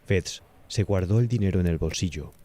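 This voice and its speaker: A man's voice: low-pitched, normal speed, warm tone. A man with a Castilian Spanish accent narrating a scripted horror story as an audiobook.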